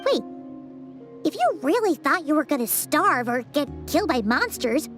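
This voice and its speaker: A woman voicing a small, childlike fairy companion in an anime-style video game, chirpy, blunt and excitable, with a squeaky voice. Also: moderate pace, faint music behind. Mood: skeptical. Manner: refers to self in third person, exclamatory.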